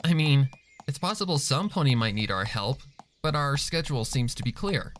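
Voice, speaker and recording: A man voicing a cheerful, excitable young schoolgirl pony in a chatty manner for a story. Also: mid-range voice, moderate pace, slight background noise, close to an everyday voice. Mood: cheerful, eager.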